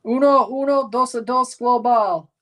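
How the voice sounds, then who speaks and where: A man's voice: extremely high pitch, unhurried, bright, forceful tone. Young man joking through a live match. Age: 20s. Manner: casual, joking banter with viewers. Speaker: livestream host. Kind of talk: live match watchalong commentary.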